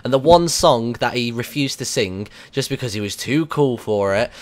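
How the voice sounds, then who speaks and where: A man's voice: mid-range voice, expressive, moderate pace. Chatty British man hosting radio. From United Kingdom. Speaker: radio host and interviewer. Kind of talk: casual radio interview chat.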